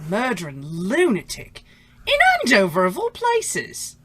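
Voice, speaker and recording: A woman's voice: medium pitch, huge pitch swings, a little slow. A woman playing a frightened, muttering working-class shopkeeper in a radio play, on a very noisy recording with clicks. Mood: muttering, wary. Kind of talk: old-time radio play acting.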